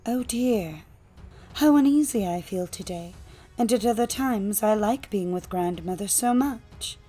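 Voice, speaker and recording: A woman's voice: mid-range voice, expressive, measured pace, mellow, breathy edge, soft background music. A woman voicing a trusting, innocent little girl in a fairytale audiobook, with a naive, earnest, polite manner. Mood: uneasy.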